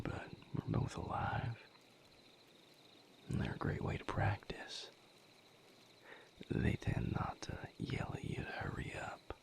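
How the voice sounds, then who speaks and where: A man playing a gentle, patient vampire healer in an intimate audio roleplay, speaking softly and reassuringly in breathy vocal fry with long pauses. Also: lowish voice, full character voice. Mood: tender, encouraging. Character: gentle, patient male vampire healer mentoring his mate.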